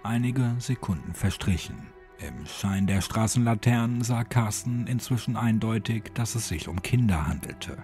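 A man's voice: lowish voice, animated, moderate pace, a little mellow. A man narrating a horror story as a dramatic audiobook reading. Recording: music behind the voice. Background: music.

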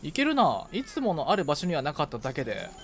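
A man casually chatting and reacting aloud as he plays a video game with a friend, excitable in manner. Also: fairly high pitch, quick pace, a little hiss or hum. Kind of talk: casual co-op gaming chat. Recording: game music behind the voice. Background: video game music.

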